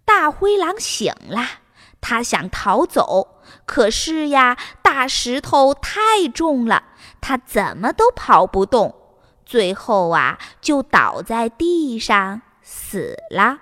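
A woman narrating a fairy tale for children in an expressive storytelling manner. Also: a little high, slow, long reverb tail. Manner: expressive, spoken to young listeners with sentence-end particles. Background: music.

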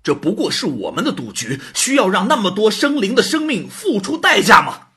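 A very husky, bright male voice for a radio drama deity. He is an indignant, compassionate God who pleads for the living creatures of the world, with lively pitch. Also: normal speed, heavily acted.

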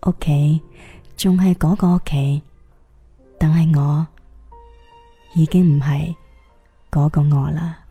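A woman's voice: sits low, steady tone, dark tone, breathy edge, heavily acted. A woman with a Cantonese accent acting a weary young woman's wistful monologue in a radio drama. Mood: wistful, reflective. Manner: wistful, measured, reflective. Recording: music behind the voice.

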